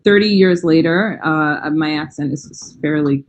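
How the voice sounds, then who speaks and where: A woman's voice: deep voice, a little slow, muffled recording, slight background noise. An American woman in her forties, a language teacher, chatting enthusiastically in an interview over a video call, with frequent fillers. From United States. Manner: warm, enthusiastic, frequent "um" and "you know". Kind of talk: casual interview chat.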